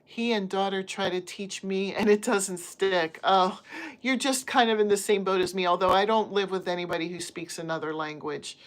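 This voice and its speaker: A woman's voice: medium pitch, fairly even intonation, moderate pace, low-quality recording. A woman from the United States, a crochet livestream host, chatting casually with viewers and reading their comments from her phone at home. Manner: chatty, friendly, talks to chat viewers by name. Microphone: phone on a stand.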